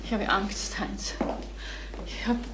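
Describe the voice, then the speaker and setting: A woman's voice: slow, echoey room, natural voice. An actress playing the anxious wife of a troubled man in a crime radio play. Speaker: actor. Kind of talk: German crime radio drama acting. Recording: faintly noisy vintage radio drama.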